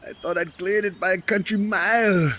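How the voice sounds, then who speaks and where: A man's voice: high-pitched, steady tone, moderate pace, bright, forceful tone, slight background noise. A man playing a pompous, clumsy paladin with theatrical mock-archaic speech in a fantasy comedy audio drama. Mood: pained, indignant. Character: pompous, clumsy male paladin knight, bumbling and boastful.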